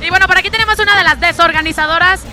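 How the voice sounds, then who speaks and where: A young Mexican woman with a very high voice trades crude, joking banter as a podcast co-host. She is recorded outdoors at a pool party, with music and a crowd loud behind her. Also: very fast. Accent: Mexican Spanish. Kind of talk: casual chat.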